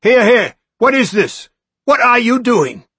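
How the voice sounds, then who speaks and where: Man as high officious store boss, sharp and loud. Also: animated, takes it slowly, dry recording, heavily acted. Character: bustling, officious store manager.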